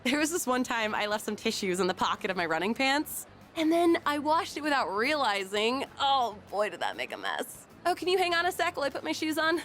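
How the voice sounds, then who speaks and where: A woman's voice: high voice, very animated, fairly quick, a bit bright, faint music behind. A young woman voicing an easygoing, frank, sporty teenage schoolgirl in a video game, with casual delivery. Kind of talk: video game character dialogue.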